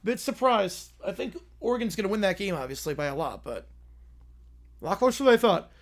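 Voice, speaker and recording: A man with a worn, hoarse voice calling college football play-by-play live on a stream, talking to chat between plays. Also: sits high, a little fast. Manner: excited, rising commentary on big plays, quieter asides to chat.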